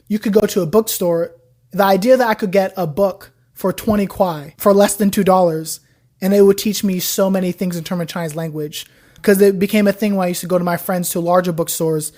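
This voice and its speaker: A young woman, a guest on a podcast, chatting casually about learning Chinese, telling her story with lots of "like". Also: fairly low pitch. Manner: chatty, animated storytelling with frequent "like". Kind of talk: casual interview chat.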